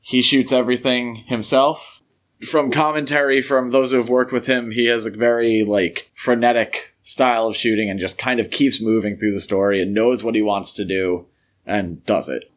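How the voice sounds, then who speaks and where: A man speaking as a podcast co-host, discussing film in a conversational, measured, explanatory way. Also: mid-range voice, steady tone.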